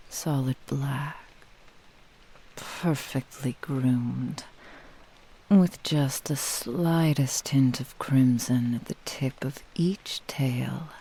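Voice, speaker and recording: A woman voicing a vain, possessive kitsune witch in an intimate ASMR roleplay, speaking in a breathy, lingering whisper. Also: a bass voice, drawn-out words, pauses often, dark tone, full character voice, low-quality recording. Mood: proud, vain. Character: vain possessive seductive female nine-tailed kitsune witch. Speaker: roleplay audio voice actor.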